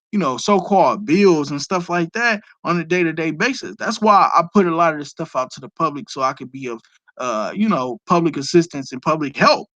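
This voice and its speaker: A man talks on a live stream in a fairly high voice, recorded dry and close. He lectures emphatically, answering viewer questions and letting profanity slip in. It sounds like casual, unscripted stream talk. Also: pushed and bright, no echo. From Detroit, USA.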